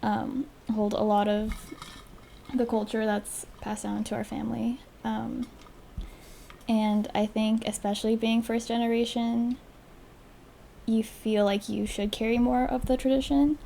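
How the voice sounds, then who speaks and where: A young woman with a higher, flat voice and a lot of vocal fry, talking on a student podcast. Her tone is mellow and subdued, and she pauses often with ums and uhs. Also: slight background noise. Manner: hesitant, heavy on ums and uhs. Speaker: podcast panelist. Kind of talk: casual podcast discussion.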